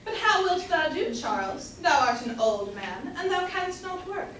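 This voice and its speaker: A woman's voice: very high voice, very animated, a little slow, fairly bright tone, full character voice. A young woman playing a scolding, fretful wife and mother in an amateur staged reading, emphatic and declamatory. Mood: scolding, exasperated. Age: young adult. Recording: echoey hall from far off, noisy.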